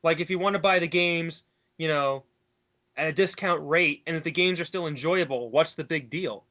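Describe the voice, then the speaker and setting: Bright, higher-pitched man arguing casually. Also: normal speed. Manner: emphatic, argumentative, talks at length. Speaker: online commentary co-host. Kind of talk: casual opinionated discussion.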